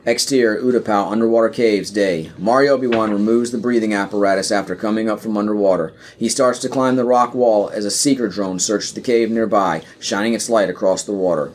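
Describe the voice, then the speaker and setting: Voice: medium pitch, brisk, few pauses, a little hiss or hum. A podcast narrator reading scripted screenplay stage directions.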